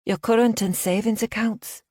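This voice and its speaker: A woman's voice: fairly high pitch, steady tone, normal speed, darkish tone, no room sound, clean studio sound, voice pushed up, natural voice. A woman reading an audiobook, voicing a female scam caller who poses as a bank worker in a put-on Liverpool accent, formal and overly polite. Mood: smooth, insistent.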